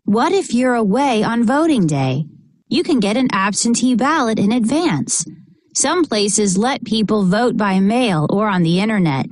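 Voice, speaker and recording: A woman reading a children's picture book aloud in a measured, expressive way, narrating and acting out short bits of dialogue. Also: medium pitch, dry recording.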